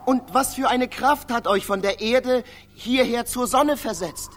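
Ein Schauspieler spielt in einem literarischen Hörspiel einen neugierigen, freigeistigen Reisenden, der theatralisch und mit trockenem Witz spricht. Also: male voice, very high-pitched, unhurried, noisy background. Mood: curious. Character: male free-thinking poet, curious wry traveller narrating his journey. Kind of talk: literary first-person radio drama narration. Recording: studio radio play with loud music underneath.

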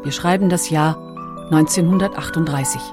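A woman reading scripted documentary narration in a measured, factual manner. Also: low voice, music in the background.

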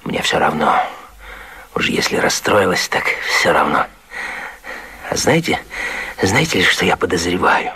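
An actor playing a brazen young lover in hiding in a radio comedy, hissing mockingly and irritably in a rasping stage whisper. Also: male voice, low-pitched, big pitch swings, a little slow, long pauses, pushed and bright, exaggerated voice. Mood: mocking, suspicious. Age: young adult. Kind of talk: classic radio comedy vaudeville acting.

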